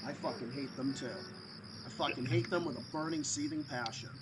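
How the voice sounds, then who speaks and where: A man hosting a live stream call, ranting loudly and swearing constantly. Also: on the high side, fairly quick, noisy background. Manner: profane, loud ranting.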